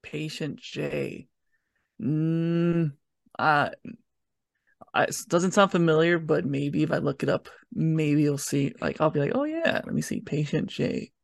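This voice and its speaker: A man's voice: a little high, lively intonation, measured pace, vocal fry, dry recording, studio quality. A man in his twenties chatting casually as a guest on a review podcast. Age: 20s.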